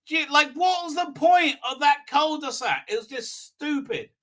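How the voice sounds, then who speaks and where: A man hosting a fan review livestream, giving a rambling, halting, sarcastic recap with repeated words and exasperated outbursts. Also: extremely high pitch, animated, fairly bright tone. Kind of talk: casual mocking episode recap.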